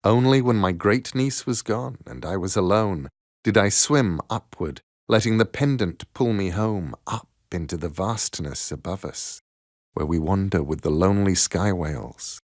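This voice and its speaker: Deep, gravelly man reading a story. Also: a little slow, no echo, clean studio sound. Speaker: storyteller, narrator. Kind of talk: dramatic reading of a short story.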